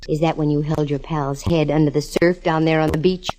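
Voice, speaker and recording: A woman's voice: low voice, fairly even intonation, brisk, lightly acted. A woman playing a tough, cool-headed sleuth, wry and clipped, in an old-time radio detective drama. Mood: wary, cool. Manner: wry, hard-boiled, clipped. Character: tough, cool-headed female sleuth narrating her case.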